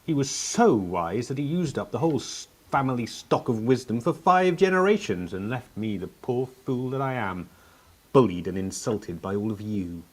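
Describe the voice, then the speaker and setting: A man's voice: mid-range voice, expressive, normal speed, natural voice. A man acting a young uncrowned king in a radio play, whining, sarcastic and stubborn. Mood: sulky. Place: actor's home, recorded remotely.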